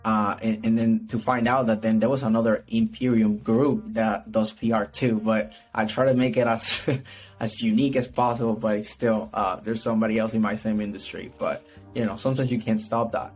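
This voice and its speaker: A Puerto Rican man with a native Spanish speaker's accent talks casually as an interview guest. His voice is on the low side and quite flat in pitch. He rambles, repeats words and checks in with "right?", with music behind him. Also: normal speed. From Puerto Rico. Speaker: podcast guest, PR strategist. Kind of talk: casual conversational interview answers.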